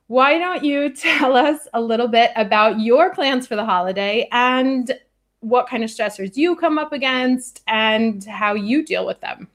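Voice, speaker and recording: A woman hosting a live online conversation from home, measured and friendly, with frequent fillers. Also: a little high, a bit bright. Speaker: host. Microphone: video call.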